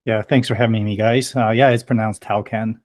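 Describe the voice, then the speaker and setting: A man in his thirties, from Taiwan and raised in Canada, talks as a podcast guest. His voice sits fairly low, and his speech is hesitant and full of restarts. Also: steady tone, a little fast, darkish tone. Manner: hesitant, many fillers and restarts. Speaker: guest, blogger. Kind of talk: casual interview answers.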